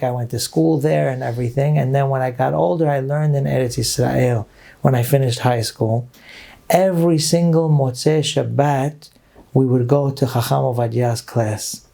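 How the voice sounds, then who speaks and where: A middle-aged rabbi from Brooklyn, telling personal stories as a guest in earnest, reminiscing talk sprinkled with Hebrew phrases. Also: male voice, on the high side, vocal fry, warm tone. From Brooklyn, New York. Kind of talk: storytelling interview talk.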